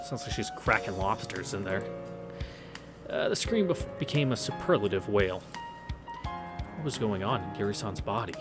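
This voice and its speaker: A man's voice: fairly low pitch, lively intonation, moderate pace, long pauses. A man reading a visual novel aloud as a streamer, adding casual, irreverent and profane asides, with game music behind him. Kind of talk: read-through with casual asides.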